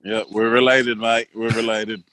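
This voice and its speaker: A man's voice: lowish voice, level tone, measured pace, a bit bright, dry recording, low-quality recording. Laid-back Australian man in his twenties. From Queensland, Australia. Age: 20s. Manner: laid-back, many fillers, laughs easily. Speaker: podcast guest. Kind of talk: casual interview talk.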